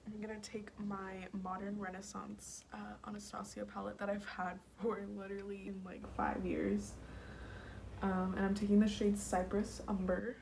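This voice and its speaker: Young woman beauty vlogger, raspy voice, casual tutorial chatter with whispered asides, noisy home recording. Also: mid-range voice, flat delivery, moderate pace, a little mellow. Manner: chatty, slips into whispers while working. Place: home, at a mirror.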